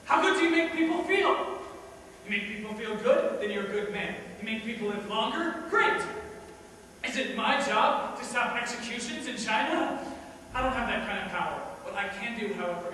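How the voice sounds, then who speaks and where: A man performs a cynical, self-justifying dead man in a stage monologue. His voice sits high for a male and moves between musing and emphatic rhetoric. It carries from a distance through a long hall reverb, with clear noise in the recording. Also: bright, forceful tone. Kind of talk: theatrical dramatic monologue.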